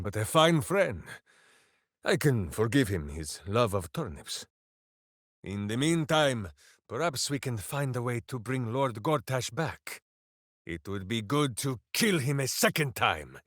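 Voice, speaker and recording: An actor playing a big, simple-hearted, heroic warrior in a video game, speaking in a grandiose, earnest, theatrical way. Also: male voice, mid-range voice, fairly bright tone, no echo, studio quality, heavily acted. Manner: grandiose, earnest, speaks of himself in third person. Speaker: video game companion character.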